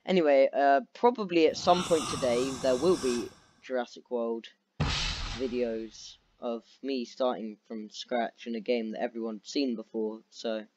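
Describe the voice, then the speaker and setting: A man giving casual gameplay commentary as a video host, sounding tired and low on energy with a dry, sarcastic manner. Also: a little slow. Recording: steady background noise with clicks.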